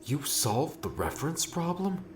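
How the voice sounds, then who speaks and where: A man's voice: on the high side, very animated, a little slow. An actor playing an earnest, questioning young man in an audiobook drama. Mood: urgent, questioning. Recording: roomy echo, music behind the voice.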